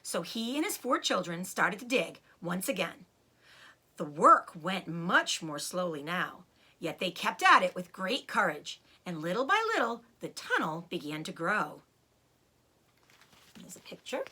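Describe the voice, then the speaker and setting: A woman storyteller reading a children's book aloud in an expressive narrating style with dramatic emphasis. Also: on the low side, unhurried, breathy edge.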